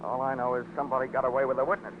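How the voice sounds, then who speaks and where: A man's voice: mid-range voice, little pitch movement, very fast, natural voice. Through a tinny, hissy old radio recording comes an actor as a tough American male detective. He is brusque and hard-boiled, tossing out sardonic wisecracks in classic 1940s radio style. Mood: sardonic, pressing. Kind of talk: 1940s radio detective drama, acting and narrating.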